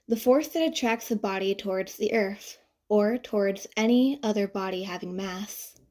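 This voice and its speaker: A woman reading fan fiction as an audiobook narrator, expressive and conversational with playful emphasis. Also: mid-range voice, normal speed. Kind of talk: expressive scripted story narration.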